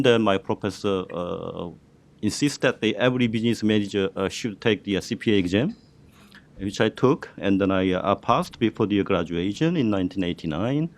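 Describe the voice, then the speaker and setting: A middle-aged man with a Korean accent speaks on a panel, his voice sitting a little low. He talks haltingly, with many fillers and restarts. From South Korea. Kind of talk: panel discussion storytelling.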